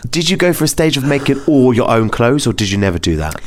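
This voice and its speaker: Lively-pitched British man hosting. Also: quick pace. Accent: British English. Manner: encouraging, effusive. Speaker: podcast host. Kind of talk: casual interview chat.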